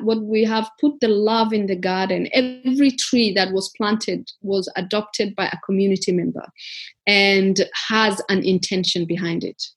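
A woman's voice: medium pitch, breathy edge. A woman from Africa, founder of a community garden, telling her story earnestly in an interview, with many fillers and restarts.

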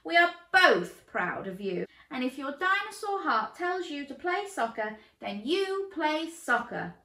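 A proud dinosaur mum who speaks firmly and encouragingly, as a woman puts her on. Her voice is bright and animated, with room echo behind it. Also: sits high, unhurried, voice pushed up, full character voice. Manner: firm, encouraging. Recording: roomy echo.

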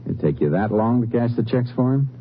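An actor playing a calm, matter-of-fact Texas Ranger in a 1950s radio drama, questioning people in clipped, deadpan phrases. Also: male voice, mid-range voice, a little fast, slight background noise, close to an everyday voice. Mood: measured, probing. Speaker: lead actor and narrator. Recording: muffled vintage radio recording with music.

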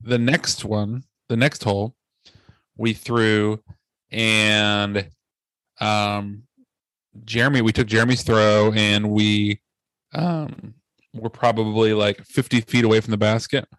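A man's voice: lowish voice, steady tone, slow, a bit bright, no echo, low-quality recording. A man co-hosting a podcast, telling a story casually with a rambling, hesitant delivery. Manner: rambling, hesitant, many fillers and restarts. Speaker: podcast co-host.

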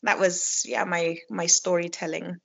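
A Kenyan-raised woman who works as a storyteller, talking in a relaxed interview with many restarts. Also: fairly low pitch, a little slow, creaky. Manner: warm, reflective, with frequent restarts and laughs. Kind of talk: conversational interview. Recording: dull lo-fi audio.

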